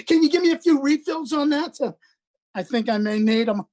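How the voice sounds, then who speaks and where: A woman's voice: high-pitched, moderate pace, darkish tone, close to an everyday voice. A woman voicing a nervous, stammering male patient in a script reading. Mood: sheepish. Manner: stammering, awkward. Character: nervous man called Mister Lyons.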